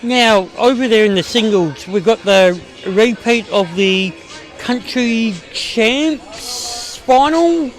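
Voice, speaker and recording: A high-pitched man co-hosting bowls commentary. He speaks loudly and jokes about himself. Faint music plays behind him. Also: slow, poor audio. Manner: loud, jokey, self-deprecating. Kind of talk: casual sports commentary.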